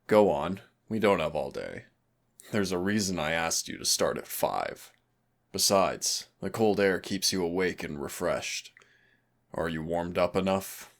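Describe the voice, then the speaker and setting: A man's voice: low-pitched, lively intonation, a little slow, gravelly, full character voice. A man playing a smug, predatory demon trainer who taunts and commands in a scripted roleplay audio. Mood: teasing, menacing. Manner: taunting, commanding, mock-courteous. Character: teasing, predatory male demon hunter-trainer, smug and dangerous.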